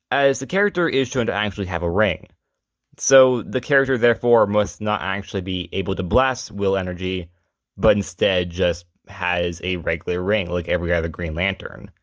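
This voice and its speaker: A man's voice: fairly low pitch, no echo, clean studio sound. A man hosting a retrospective video, reading informal scripted narration. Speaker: host of a character retrospective video series.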